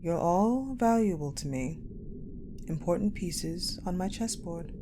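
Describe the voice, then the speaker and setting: An ice-queen general acted by a woman, with a lowish voice and very unhurried delivery. She is haughty, guarded and possessive, weighing each phrase with deliberate pauses in a dark roleplay drama. Clear ambient noise sits behind her voice. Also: expressive. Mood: dry, guarded. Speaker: audio roleplay voice actor. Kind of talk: dramatic audio roleplay monologue. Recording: clearly noisy, ambient sound bed.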